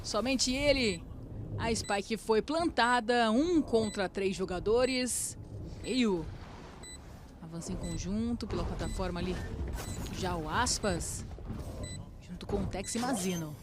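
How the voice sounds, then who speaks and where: Male caster, steady play-by-play, noisy broadcast feed. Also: high-pitched, measured pace, pauses often. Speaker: esports caster. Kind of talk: play-by-play commentary. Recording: broadcast with background noise.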